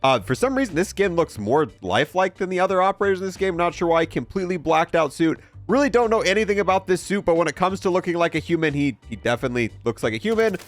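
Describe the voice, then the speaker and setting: A man's voice: high-pitched, expressive, fast, hardly any pauses, faint music behind. A man giving casual, offhand, wry gameplay commentary as a video game reviewer.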